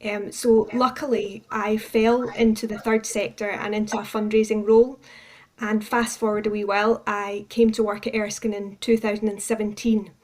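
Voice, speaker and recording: A woman's voice: mid-range voice, level tone, moderate pace. A woman with a Scottish accent giving explanatory answers as a charity manager in a radio interview.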